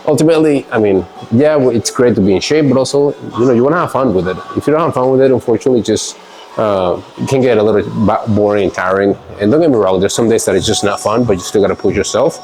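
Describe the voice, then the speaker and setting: A man with a somewhat low voice talks through his workout in a loose, rambling way. He sounds stuffy and congested, as if he has a cold. Quiet music plays faintly in the background. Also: fast talker, low-quality recording. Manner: relaxed, rambling, with fillers and laughs. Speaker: fitness vlogger. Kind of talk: casual workout vlog talk.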